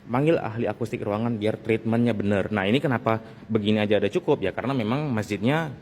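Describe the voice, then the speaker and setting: A man's voice: lowish voice, quick pace, darkish tone, slight background noise. A man gives a casual, conversational explanation as a vlog host, repeating phrases for emphasis. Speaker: sound technician and video host.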